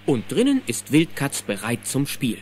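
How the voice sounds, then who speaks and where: A male narrator tells an adventure in a vivid, suspenseful style. His middle-pitched voice is very animated, with music behind it. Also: normal speed. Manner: vivid, suspenseful present-tense telling. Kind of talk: dramatic scripted storytelling in German.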